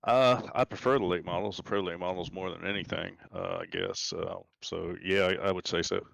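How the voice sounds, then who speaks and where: A man's voice: sits low, expressive, fairly quick, gravelly, a bit bright, dry recording. A man in his fifties from Central Florida, a sim racing driver giving a hesitant, polite interview with many fillers. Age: 50s. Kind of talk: casual post-race interview.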